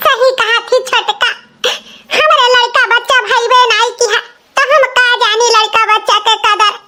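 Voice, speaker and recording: A man's voice: extremely high pitch, fairly even intonation, moderate pace, bright, forceful tone, heavily acted. A man voicing a meek, caring elder village sister-in-law in a cartoon, plaintive and apologetic, with an Awadhi accent. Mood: hurt, resigned. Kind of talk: comic cartoon dialogue.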